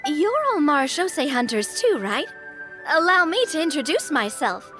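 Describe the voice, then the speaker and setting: A bold, friendly young huntress, as a woman plays her in a game story, her voice high and bright with a lively lilt. She takes charge easily. Faint music sits behind her. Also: normal speed. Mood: confident. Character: confident young female monster hunter.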